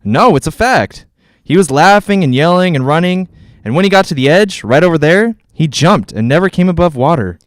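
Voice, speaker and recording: A man's voice: on the high side, expressive, a little fast, dry recording, studio quality, lightly acted. A man playing a plain-spoken, dismissive real estate agent in a 1940s-style radio drama, telling things in a folksy way. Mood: matter-of-fact.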